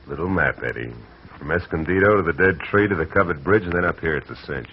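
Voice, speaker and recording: An actor playing a hard-boiled private detective in a 1940s radio drama, dry and wisecracking. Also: male voice, low voice, fast talker, husky. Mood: guarded. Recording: muffled old radio recording.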